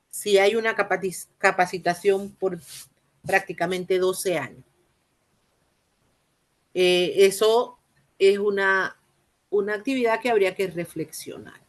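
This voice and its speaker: Woman lecturer, mid-pitched, halting delivery with fillers, formal explanatory tone. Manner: halting, many "eh" fillers and restarts; explanatory, addresses listeners formally. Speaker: university lecturer. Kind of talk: spoken lecture.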